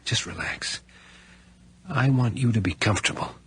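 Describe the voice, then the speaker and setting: An actor playing a secretive backwoods man in a radio horror drama, terse, evasive and quietly insistent. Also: on the low side, normal speed, creaky, breathy edge, heavily acted. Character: eerily calm, secretive backwoods country man. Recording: noisy archived radio drama.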